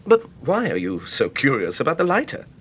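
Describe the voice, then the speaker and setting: An actor playing a polite, hesitant, apologetic widower in a British radio mystery, with a British accent, on an old radio recording. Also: male voice, huge pitch swings, brisk, a bit bright. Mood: uneasy. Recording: noisy old radio recording, low rumble.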